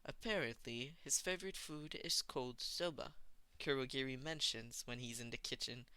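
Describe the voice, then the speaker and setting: A narrator reading fan fiction aloud in an expressive storytelling style. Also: mid-range voice, normal speed, smooth, dry recording.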